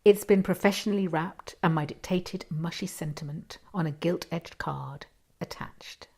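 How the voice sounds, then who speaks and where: A woman reading a first-person short story expressively, with dramatic pauses and hushed asides. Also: on the low side, creaky, breathy edge.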